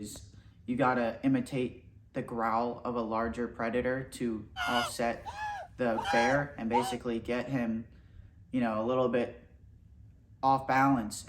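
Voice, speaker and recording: A man's voice: medium pitch, flat delivery, moderate pace, a little hiss or hum. Overconfident young man in a survival parody. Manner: overconfident, rambling, boastful. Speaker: mock survival-show host. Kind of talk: deadpan comedic parody narration. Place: outdoors in nature.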